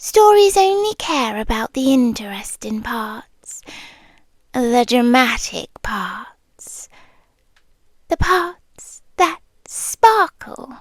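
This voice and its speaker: Female performer for ancient yandere monster girl, British accent. Unhurried, wistful, tenderly possessive, breathy whispering, close and very dry ASMR roleplay sound. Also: fairly high pitch, big pitch swings, no room sound, heavily acted. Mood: wistful, lonely. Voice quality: breathy, often dropping into whisper. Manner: hushed, deliberate, wistful storytelling with pauses. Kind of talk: slow intimate ASMR roleplay. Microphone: close, dry microphone.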